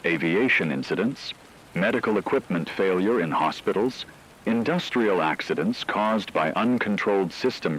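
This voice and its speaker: A man playing a grave radio anchor who delivers scripted news of a global catastrophe in a solemn, measured, formal way. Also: low voice, a little hiss or hum, rough recording. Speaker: emergency broadcast announcer.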